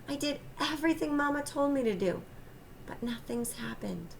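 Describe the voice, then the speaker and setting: Woman voicing an eager, higher-pitched young storybook boy. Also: lively intonation, moderate pace, vocal fry, slight background noise. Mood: discouraged, wistful. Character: earnest young boy longing to be a winner.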